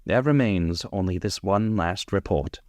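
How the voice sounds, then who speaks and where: An actor playing an earnest, formal doctor in an old-style radio horror play. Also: male voice, low-pitched, measured pace, darkish tone, no room sound. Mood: grave, formal. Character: male doctor, earnest, fearful, formal witness. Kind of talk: 1930s-style radio drama acting.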